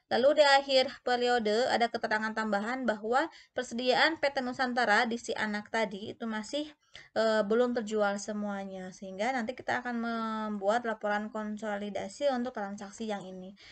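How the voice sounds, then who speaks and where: High-voiced woman teaching step by step. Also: steady tone, moderate pace. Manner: step-by-step, asks and answers own questions. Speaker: accounting instructor. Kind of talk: explanatory tutorial lecture.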